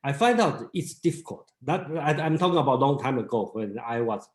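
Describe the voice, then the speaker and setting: Halting man leading a reading group. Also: medium pitch, lively intonation, reverberant. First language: Mandarin Chinese. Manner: halting, many restarts and fillers. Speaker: discussion group leader. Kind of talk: casual seminar talk.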